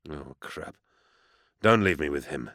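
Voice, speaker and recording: A man's voice: deep voice, very animated, moderate pace, dry recording. A man voicing a weary, sardonic veteran inquisitor in an audiobook, with a dry, curt, muttering manner. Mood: dismayed. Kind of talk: audiobook character dialogue.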